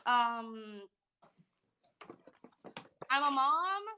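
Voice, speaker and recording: A woman's voice: sits high, lively intonation, very slow, bright, forceful tone, slight background noise. A woman from Puerto Rico, a professor, chatting on a live stream in a bubbly, teasing way. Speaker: professor, podcast guest. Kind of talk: casual live-stream chat.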